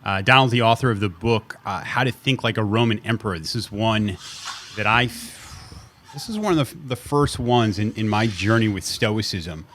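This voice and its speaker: A man hosting a podcast, talking casually and haltingly, often repeating words as he sets up questions over a video call. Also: on the low side, fairly bright tone, slight background noise. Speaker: podcast host. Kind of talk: casual podcast intro and interview.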